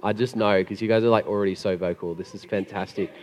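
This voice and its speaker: A man preaching a sermon with a gravelly, hoarse voice after being sick, chatty and joking. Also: fairly low pitch, steady tone, fast, a little mellow. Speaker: pastor. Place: church hall. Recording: long hall reverb.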